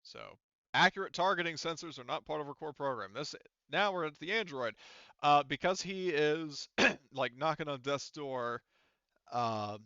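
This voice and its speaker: A man with a bright, forceful voice runs a tabletop game as game master. He explains rules in a halting, careful way. The sound is dry and close, slightly dull. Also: a little high, expressive, no room sound.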